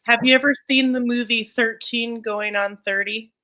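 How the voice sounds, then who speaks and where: A woman chatting casually on a livestream, talkative and quick to laugh. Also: fairly high pitch, steady tone, bright, forceful tone, poor audio. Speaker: livestream co-host. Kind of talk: casual chat.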